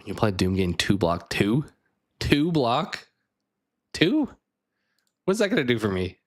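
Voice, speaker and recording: A man streaming a card game live, thinking aloud and chatting with viewers, his voice a bit raspy. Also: mid-range voice, wildly swooping pitch, slow pace. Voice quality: slightly raspy. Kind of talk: casual live gameplay chat.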